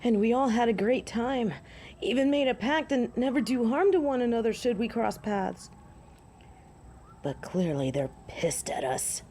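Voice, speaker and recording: Slow, haughty werewolf alpha played by a woman. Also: on the high side, expressive, mellow. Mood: wary, challenging. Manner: haughty, theatrical, deliberate. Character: proud female werewolf alpha, cutting and sardonic. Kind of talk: dramatic fantasy audio drama acting. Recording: clear background noise and ambience.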